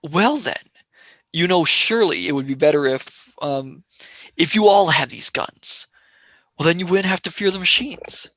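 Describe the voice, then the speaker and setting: A woman's voice: fairly low pitch, lively intonation, normal speed, a bit bright, no room sound, rough recording. A performer playing a wry, sardonic young male space traveller who turns sarcastic and wheedling in a radio play.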